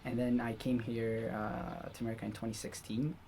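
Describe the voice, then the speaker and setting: A man's voice: mid-range voice, flat delivery, a little fast, mellow. Young man from Somalia, hesitant. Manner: hesitant, many fillers. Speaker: guest. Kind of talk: casual podcast chat. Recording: noisy background.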